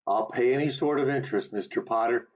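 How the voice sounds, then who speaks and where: A man's voice: mid-range voice, fast talker, lightly acted. A man playing a desperate, agitated family man in a radio play. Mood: desperate, pleading. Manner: agitated, pleading. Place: home recording over video call. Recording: dull lo-fi video call audio.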